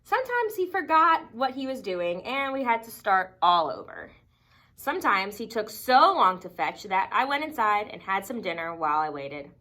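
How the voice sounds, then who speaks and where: A woman reading a children's picture book aloud expressively, with dramatic emphasis and deliberate pauses. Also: medium pitch, big pitch swings, sharp tone. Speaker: storybook reader.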